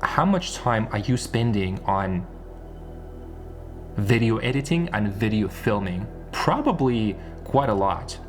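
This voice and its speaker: A man's voice: fairly low pitch, lively intonation, slow, faint music behind. A man giving an unscripted, rambling monologue as an online content creator, repeating phrases and posing rhetorical questions. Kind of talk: unscripted one-take monologue.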